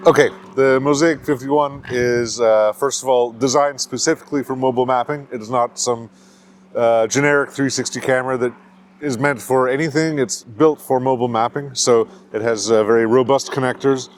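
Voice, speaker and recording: A man from the United States, a company founder, explaining a product in a steady technical interview with frequent filler sounds. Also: medium pitch, moderate pace.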